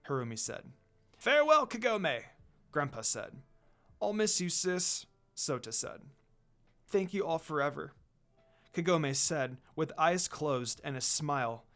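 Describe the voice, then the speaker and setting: A man narrates a fan fiction story aloud, his voice sitting a little high and moving freely up and down. He softens and quiets his delivery whenever a character speaks. Faint music plays underneath him. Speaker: fanfiction reader.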